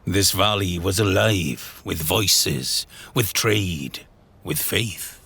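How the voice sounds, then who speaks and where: A man narrating a horror audio drama in a brooding, theatrical way, in short halting phrases. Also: sits low, creaky, pushed and bright, slight background noise. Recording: music bed under the voice. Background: music.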